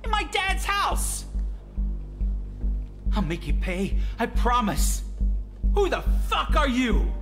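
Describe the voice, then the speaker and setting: An actor playing a spoiled, vicious wounded rich son in a noir game, shouting furiously. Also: high-pitched, wildly swooping pitch, slow, long pauses, over music, heavily acted. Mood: enraged, vengeful.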